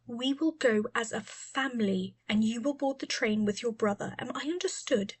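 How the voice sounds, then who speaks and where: A woman's voice: fairly high pitch, normal speed, breathy edge. A woman voicing a cold, haughty, commanding mother with a stern, controlled, hissing delivery in an audiobook.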